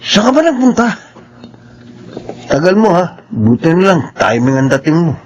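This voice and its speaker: A man playing an excitable, deferential shop employee in a Filipino radio drama, chatty and jumpy. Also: a little high, moderate pace, dull audio. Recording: faint background noise.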